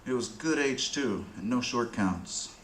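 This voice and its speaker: An actor playing a jittery, down-and-out addict and petty thief who grumbles and mutters to himself in street slang, in a radio drama. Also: male voice, medium pitch, expressive, measured pace, a bit bright. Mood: dejected. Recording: roomy, with faint background noise.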